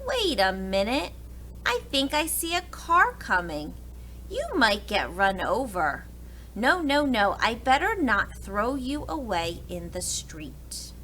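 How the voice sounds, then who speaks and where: A woman acts out a soft-hearted old storybook uncle who grumbles and frets. The delivery is emphatic and bright, swinging widely in pitch. There is faint noise on the recording. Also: very animated, slow pace, heavily acted. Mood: fretful, dithering. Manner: exclamatory, emphatic. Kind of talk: storybook character voice.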